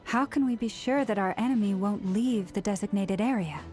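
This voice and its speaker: An actress playing a composed leader of an order in a video game, speaking formally and with measured care. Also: female voice, mid-range voice, darkish tone, soft background music, close to an everyday voice. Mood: composed, serious.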